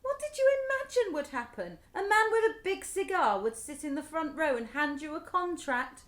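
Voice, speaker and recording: A woman with a British accent playing a resentful, highly strung middle-aged wife in a radio play, accusing and sarcastic. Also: very animated, normal speed, a bit bright. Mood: mocking.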